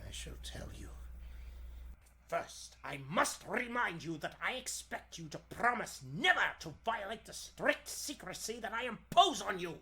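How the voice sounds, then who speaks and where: A man playing a feeble, elderly, excitable scientist with a grandiose, formal delivery in a 1940s radio serial style. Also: sits high, measured pace, exaggerated voice. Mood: grave, secretive. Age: older.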